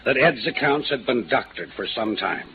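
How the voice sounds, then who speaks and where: A man playing a grave, troubled older American insurance executive, set in his ways, in a 1950s radio drama, with measured, halting delivery. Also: medium pitch, expressive, natural voice. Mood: grim, resigned. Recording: noisy, phone-like old radio recording.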